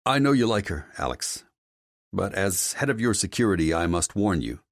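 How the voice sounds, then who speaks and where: A man's voice: low voice, big pitch swings, moderate pace, dry recording, studio quality, voice pushed up. A man voicing an earnest, loyal head of security in an audiobook, deferential but cautioning. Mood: concerned, warning. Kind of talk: audiobook character dialogue.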